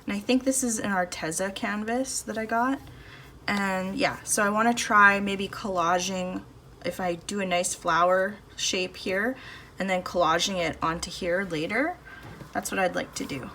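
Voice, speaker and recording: A woman talks her way through a painting session in a relaxed, thinking-aloud manner, her voice neither high nor low. She is recorded on her phone in a home studio, with a faint hum of cars and a fridge under her voice. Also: moderate pace. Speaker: art channel host. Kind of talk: casual painting demo chat. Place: home art studio, indoors. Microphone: phone camera above the table, no external mic. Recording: phone audio with faint background noise. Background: faint street and household noise.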